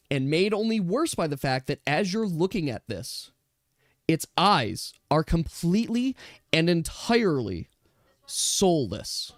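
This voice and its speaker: A man's voice: a little high, no room sound, studio quality. A man speaking as game master, switching between casual rules talk and descriptive narration of a tabletop role-playing game.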